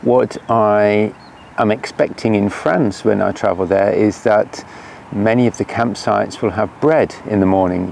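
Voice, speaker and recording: An older man chats to a camera set a little way off by a lakeshore. His voice sits low with a gravelly edge, and he speaks in an unhurried, halting way full of fillers. Faint outdoor ambience sits under the voice. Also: darkish tone, a little hiss or hum. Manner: unhurried, hesitant with many fillers. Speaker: cycling vlogger. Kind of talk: casual outdoor vlog. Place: lakeshore campsite outdoors by mountains. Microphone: camera at a distance.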